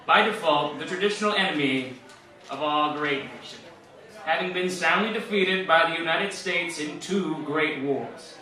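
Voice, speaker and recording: A man playing a solemn President giving a formal, measured 1940s radio fireside chat in a satirical sketch. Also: fairly high pitch, sharp tone. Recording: echoey old-radio sound, distant.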